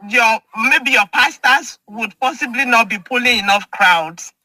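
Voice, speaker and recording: A woman's voice: fairly high pitch, unhurried, no echo. A woman from Nigeria with a Nigerian accent, hosting a casual chat and laughing often. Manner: laughs often, animated and chatty. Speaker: host.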